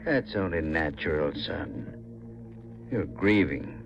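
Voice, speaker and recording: A man's voice: rumbling low, animated, slow, gravelly, full character voice. An actor playing a plain-spoken, fatherly older village man in a 1950s radio drama, with a rustic manner. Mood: gently chiding. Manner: folksy, rustic. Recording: muffled 1950s radio recording, faint noise.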